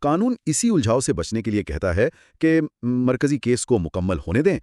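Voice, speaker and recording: A man's voice: mid-range voice, very animated, normal speed, mellow, dry recording, studio quality. A man co-hosting a conversational legal explainer, teaching through examples and rhetorical questions. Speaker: co-host explaining law.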